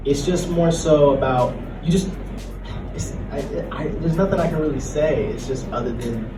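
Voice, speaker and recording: A man's voice: a little high, normal speed. A man speaking casually on a panel, giving encouraging, rambling advice. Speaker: panelist, comic writer. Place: convention panel room. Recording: echoey, distant, music in background.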